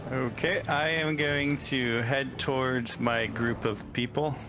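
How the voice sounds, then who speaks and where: A man's voice: medium pitch, steady tone, slow pace. A man playing a tabletop game, plainly explaining his moves as a player. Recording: music behind the voice. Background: background music.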